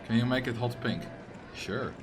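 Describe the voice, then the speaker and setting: A young man with a Dutch accent, a native Dutch speaker, casually commenting on a game during a live stream, reading viewer chat aloud, joking back, and now and then breaking into loud, exasperated rants. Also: mid-range voice, moderate pace, background noise. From Netherlands. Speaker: livestreamer.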